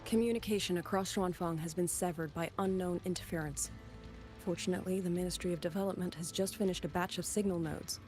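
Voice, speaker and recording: A woman's voice: on the low side, steady tone, fast talker, a little mellow, soft background music, natural voice. A woman acting a businesslike, level-headed official in a video game, with a practical, explanatory manner. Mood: practical reassurance.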